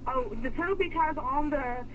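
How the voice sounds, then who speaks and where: A woman working as a store clerk, patiently and haltingly explaining things on a customer service phone call. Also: high-pitched, a loud crowd. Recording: dull, noisy telephone line.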